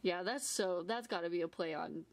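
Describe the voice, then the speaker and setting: A woman's voice: fairly low pitch, normal speed, vocal fry. A woman co-hosting a toy unboxing, chatting casually and describing each piece with lots of "like" and "little". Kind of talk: casual chat. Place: indoor tabletop filming setup.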